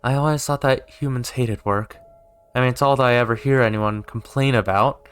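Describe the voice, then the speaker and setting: A man's voice: mid-range voice, moderate pace, smooth, faint music behind, close to an everyday voice. A man voicing a clingy, jealous woman from a visual novel, pleading in a needy, whiny way. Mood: bitter, resigned.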